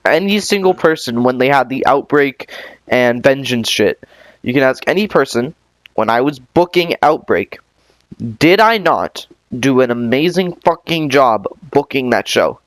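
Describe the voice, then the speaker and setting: A man hosts a casual podcast interview. He rambles and halts as he talks, circling back with restarts and fillers. His mid-pitched voice sounds close and dry, with no room around it. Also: expressive, measured pace, no room sound. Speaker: podcast host.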